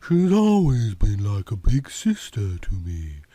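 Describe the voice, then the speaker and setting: A man's voice: on the low side, huge pitch swings, slow, warm tone, breathy edge, no echo, voice pushed down, full character voice. A man voicing a flirty red-haired woman in her thirties who speaks with a thick southern drawl. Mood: coy.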